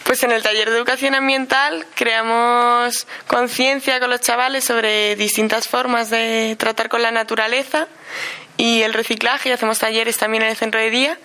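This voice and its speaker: Young woman, from Madrid, steady explanations, fairly high, bright. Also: moderate pace.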